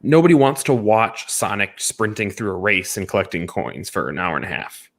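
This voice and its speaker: A man's voice: on the low side, very animated, moderate pace, a bit bright. A man co-hosting a casual film discussion, giving emphatic opinions and repeating points for stress. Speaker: podcast co-host reviewing films.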